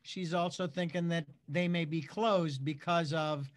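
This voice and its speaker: High-pitched man, informal studio chat. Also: fairly even intonation, measured pace. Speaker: radio station producer and engineer. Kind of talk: casual off-air chat.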